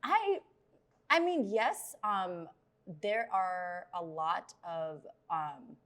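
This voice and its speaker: A woman's voice: fairly low pitch, animated, very slow, fairly bright tone. A young woman from the Philippines, a singer answering interview questions in a friendly, hesitant way with many ums.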